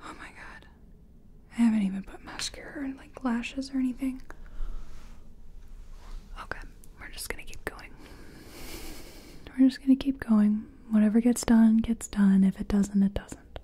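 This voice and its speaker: A woman speaking softly, mostly in whispers, in an ASMR makeup-artist roleplay, murmuring step by step as if to a client. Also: fairly high pitch, fairly even intonation, normal speed, long pauses, a little hiss or hum. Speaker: ASMR creator role-playing a makeup artist. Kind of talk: soft-spoken ASMR personal attention roleplay.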